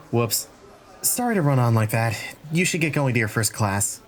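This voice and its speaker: A man's voice: medium pitch, sing-song, unhurried. A man voicing a friendly, chatty young male dinosaur student, a helpful class president, in a visual novel fan dub.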